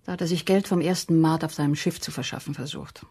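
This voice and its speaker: A weary woman being questioned in a crime radio drama. Her voice is low and husky, and she answers curtly and reluctantly. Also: quick pace, mellow. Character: curt, weary, reluctant sister of a murdered man. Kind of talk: German crime radio play.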